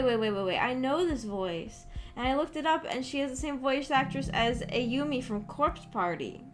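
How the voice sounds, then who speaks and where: Girl streamer w a high voice, rambly n giggly, says like a lot, music playing behind her. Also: a bit bright. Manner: giggly, rambling, peppers talk with "like". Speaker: livestreamer, visual novel book club host. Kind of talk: casual livestream chat with viewers. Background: background music.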